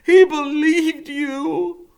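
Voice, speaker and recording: A man voicing an upset teenage boy who shouts in a strained, high voice while reading a storybook aloud. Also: steady tone, extremely slow, vocal fry, full character voice. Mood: anguished.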